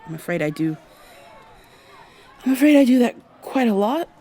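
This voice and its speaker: A woman playing a brisk, awkward time traveler in an ASMR roleplay, talking to the listener in a rambling, wry way and thinking out loud. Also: a little high, sing-song. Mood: rambling, musing. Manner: rambling, hesitant, thinking out loud mid-sentence. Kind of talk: ASMR roleplay, acted one-sided dialogue to the listener.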